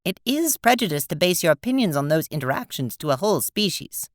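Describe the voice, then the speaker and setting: A woman's voice: on the low side, big pitch swings, brisk, no room sound, clean studio sound. A woman playing a prim, earnest, easily confused alien troll who speaks formally in an audio drama. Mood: earnest, affronted. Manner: formal, wordy.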